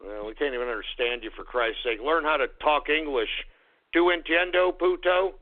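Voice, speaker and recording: A man hosting a call-in radio show, ranting, shouting and swearing at callers while losing his voice. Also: a little high, moderate pace. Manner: shouting, profane, abrasive. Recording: phone-like narrow sound.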